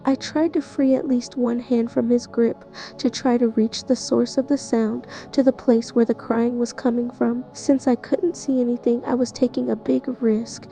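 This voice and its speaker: A woman narrating a first-person horror story in a tense, even manner. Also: fairly high pitch, normal speed, creaky, hardly any pauses, faint music behind, low-quality recording. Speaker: narrator playing the listener's character.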